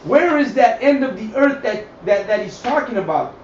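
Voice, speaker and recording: A man's voice: very high voice, sing-song, moderate pace, darkish tone. A man giving an improvised scripture and history lesson, emphatic, repeating phrases and checking in with listeners. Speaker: teacher of a religious group. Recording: echoey, distant mic, dull, faint noise.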